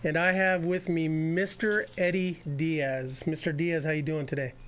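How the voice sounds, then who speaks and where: A man's voice: fairly high pitch, fairly even intonation, normal speed, a bit bright. A man hosting a radio baseball show, interviewing a guest in a chatty, rambling way with lots of fillers.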